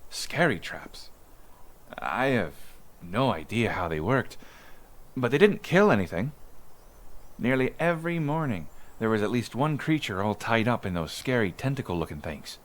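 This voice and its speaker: A folksy male villager acted by a man reminisces in an ASMR roleplay story. His voice sits fairly low and moves up and down with plenty of animation. He muses conversationally, like someone telling local history. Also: sing-song, normal speed. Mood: animated reminiscing. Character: male island villager recalling childhood amid monsters, folksy.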